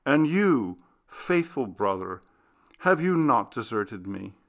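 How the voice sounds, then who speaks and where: A man's voice: medium pitch, sing-song, takes it slowly, vocal fry, fairly bright tone, heavily acted. A man voicing an intense, fragile young romantic man in a story, emotional and declamatory. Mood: tearful affection.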